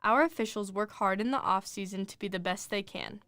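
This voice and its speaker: A woman's voice: mid-range voice, brisk, a bit bright, no room sound. A young woman, a student athlete, reading a scripted public service announcement.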